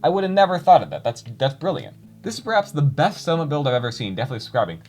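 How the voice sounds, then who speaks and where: A man's voice: on the high side, sing-song, very fast, darkish tone, soft background music. A young man chatting casually to his viewers, rambling with many fillers and restarts. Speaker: YouTuber reading comments.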